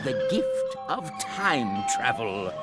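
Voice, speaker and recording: An actor playing a whimsical elderly alien inventor in a video game, speaking in a hesitant, rambling, theatrical way. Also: male voice, mid-range voice, big pitch swings, takes it slowly, loud music behind the voice. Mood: cheerful, befuddled. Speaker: welcome-film host. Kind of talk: video game cutscene acting.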